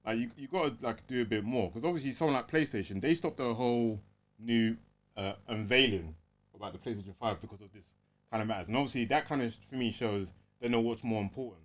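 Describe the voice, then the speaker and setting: A man's voice: medium pitch, fast. A man discussing things casually as a podcast host, in a hesitant, questioning way.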